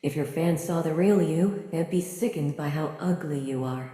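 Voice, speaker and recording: A woman playing a cruel, menacing inner tormentor in an animated drama. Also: low-pitched, moderate pace, natural voice. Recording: echoey, reverberant voice effect.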